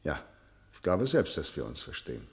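Senior prosecutor, radio play: low voice, lively intonation, stiff, formal and guarded. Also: male voice, creaky, heavily acted. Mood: cool, guarded. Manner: formal, measured, official. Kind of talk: radio drama dialogue.

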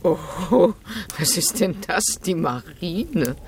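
A woman's voice: on the low side, very animated, slow, darkish tone, a little hiss or hum, heavily acted. A woman playing a simple, pious country maidservant in a radio drama, speaking in an artless, wondering way. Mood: naive wonder. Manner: artless, wondering, plain-spoken. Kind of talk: German radio drama acting.

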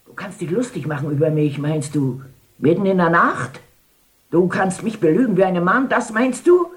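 An actress playing an imperious older brothel madam in a radio drama, haughty, mocking and declamatory. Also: female voice, lowish voice, lively intonation, normal speed, lightly acted. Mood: mocking, indignant. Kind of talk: theatrical German radio drama acting.

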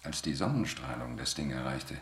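An actor playing a thoughtful, deliberate male investigator in a science fiction radio drama. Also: a bass voice, expressive, fairly bright tone, slightly rough, full character voice. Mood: pensive. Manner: pensive, deliberate. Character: thoughtful, speculating male investigator musing on alien mysteries.

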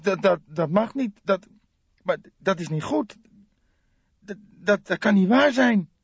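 A man's voice: high voice, animated, vocal fry, slightly rough, no echo, lo-fi sound, pitched above the performer's own voice, full character voice. A man voicing an agitated, indignant male heir who stutters and halts in an audiobook. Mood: shocked protest. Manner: stuttering, halting.

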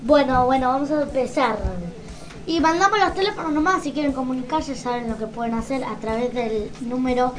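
A woman's voice: sits high, a little fast, slight background noise, rough recording. A young woman with an Argentine accent, hosting a casual live community radio show in Spanish. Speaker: radio host.

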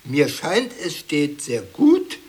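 Pompous academician, wildly swooping high voice. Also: male voice, huge pitch swings, slow, a little mellow, slightly rough, exaggerated voice. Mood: pompous, lecturing. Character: ceremonious, excitable academy chairman presenting his eminent colleagues. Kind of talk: 1980s German absurdist radio comedy acting.

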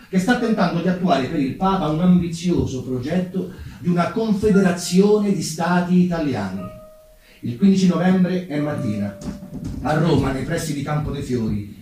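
A man narrating history dramatically as a stage actor, with deliberate, theatrical phrasing. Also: fairly high pitch, darkish tone. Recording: very echoey, distant, music behind.

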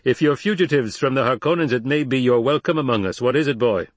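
Guy doing a stern desert tribe boss for an audiobook, formal n commanding, pitched up, really declaims. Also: fast, dry recording, lightly acted. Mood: wary, appraising.

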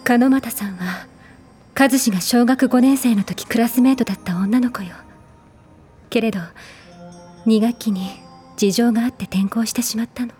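An actress playing a troubled, caring elder sister confiding in audio drama dialogue. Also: female voice, on the high side, normal speed, long pauses, dark tone, faint music behind, lightly acted. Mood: hesitant, confiding.

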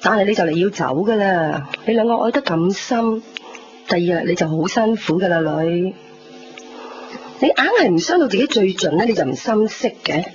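A woman's voice: medium pitch, animated, normal speed, close to an everyday voice. An actress playing a worried, resigned middle-aged mother in a 1990s radio drama, with a Hong Kong Cantonese accent. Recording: dull 1990s radio recording, noisy.

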